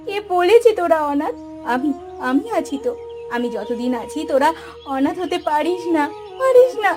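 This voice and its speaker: Woman acting a high-pitched, emotional village elder sister. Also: big pitch swings, normal speed. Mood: protective, tearful. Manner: emotional, pleading and scolding. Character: burdened, caring eldest sister running a poor village household. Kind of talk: melodramatic cartoon voice acting.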